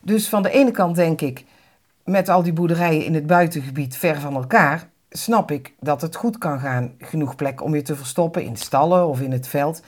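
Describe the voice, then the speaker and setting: A woman's voice: low voice, moderate pace. A woman co-narrating a podcast in a scripted documentary style.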